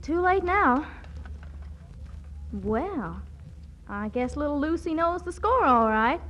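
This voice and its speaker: An actress playing a sly, knowing young girl in a 1940s radio comedy, brisk and wisecracking. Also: high-pitched, big pitch swings, a bit bright, slight background noise, exaggerated voice. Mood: sly, wry. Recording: dull old radio broadcast.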